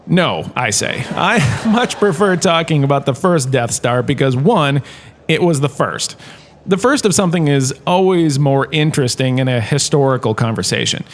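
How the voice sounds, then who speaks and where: A jaunty male history professor, played by a man in a comedic audio drama, delivers his lecture in a fairly high voice. He is chatty and opinionated, with the theatrical flair of a washed-up actor. His wry delivery leans on emphatic pauses. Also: expressive, a little hiss or hum, natural voice. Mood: opinionated, playful.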